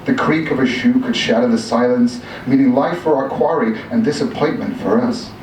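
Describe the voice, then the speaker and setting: A man's voice: mid-range voice, normal speed, a little mellow, close to an everyday voice. A man playing an obsessive, boastful aging hunter in a dramatic story read aloud, with pompous, old-fashioned, theatrical diction. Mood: fond, nostalgic. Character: obsessive, boastful aging male big-game hunter, bald, wistful. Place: live reading in a room. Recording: echoey room from a distance, noisy.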